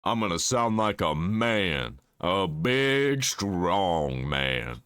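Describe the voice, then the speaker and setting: A man hosting a reaction video, mocking and swearing, sometimes dropping into a put-on deep voice. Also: very animated, very slow, fairly bright tone, clear, resonant tone. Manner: mocking, swings between a squeaky high register and a put-on deep voice; swears freely, reads search results aloud. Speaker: reaction channel host. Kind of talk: casual reaction commentary.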